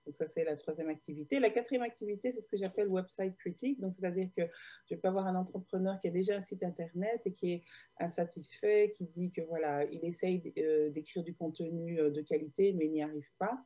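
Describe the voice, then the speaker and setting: Belgian woman, interview guest, low mellow voice, halting with fillers, video call. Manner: hesitant, many fillers and restarts. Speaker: guest, digital marketer. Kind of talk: casual interview answers.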